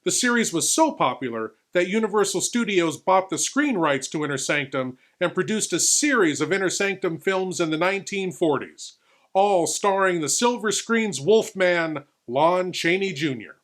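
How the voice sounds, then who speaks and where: A man's voice: high voice, lively intonation, moderate pace, fairly bright tone. A man playing a ghoulish lord who hosts a horror show, speaking in a grandiose, theatrical scripted style. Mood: spooky, informative.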